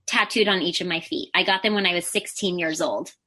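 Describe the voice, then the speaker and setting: Bright high-voiced woman guest. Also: steady tone, fairly quick. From United States. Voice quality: high-pitched voice. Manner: candid, self-deprecating, laughs often. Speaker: podcast guest, Pilates teacher. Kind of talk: casual interview chat.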